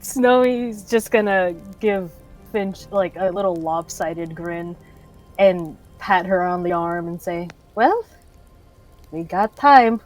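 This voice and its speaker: A woman's voice: fairly low pitch, slow pace, faint music behind. A woman playing a tabletop game, casually narrating and speaking as her character. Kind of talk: casual in-character role-play.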